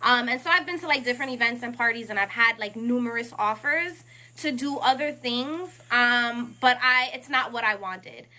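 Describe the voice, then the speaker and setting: A woman in her thirties with a New York accent, a rapping poet chatting as a guest and acting out her own quotes in lively asides. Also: fairly high pitch, normal speed, hardly any pauses, low-quality recording. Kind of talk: casual interview chat.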